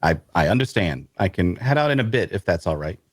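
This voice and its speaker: Awkward bookish adventurer, loner, lowish pitch, dry close sound, wry audio drama storyteller. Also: male voice, very animated, a little fast, dry recording. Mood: awkward, polite. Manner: wry, hesitant in conversation. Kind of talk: first-person narration and dialogue in audio drama.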